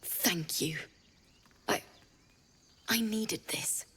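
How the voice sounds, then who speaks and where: A woman playing a gentle, grieving young tiefling bard in a video game, speaking in a halting, confiding way. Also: mid-range voice, a little hiss or hum. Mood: wistful, grateful. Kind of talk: video game drama acting.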